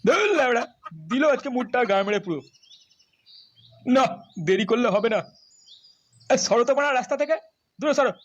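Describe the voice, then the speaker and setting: A man's voice: very high-pitched, fairly quick, pauses often. A man voicing a crude, impatient, bad-tempered husband in a comedy cartoon, with muffled lo-fi sound. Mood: angry, cursing. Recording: muffled lo-fi audio with faint noise.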